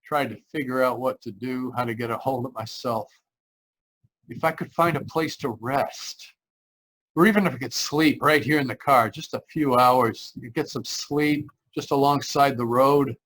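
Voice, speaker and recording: An actor playing a frightened, desperate young driver in an old-style radio drama, halting and repeating his phrases. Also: mid-range voice, fairly bright tone, close to an everyday voice, rough recording. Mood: haunted, weary. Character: frightened, desperate young man driving cross-country, haunted. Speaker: actor narrating and playing the lead. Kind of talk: 1940s radio drama acting. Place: remote live performance over video call.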